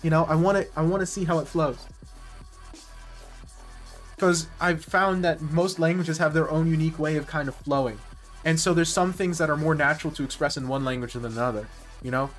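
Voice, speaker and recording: Man, live-stream chat, rambling, self-correcting, nerdy, slightly high voice, faint music underneath. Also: fairly quick. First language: English.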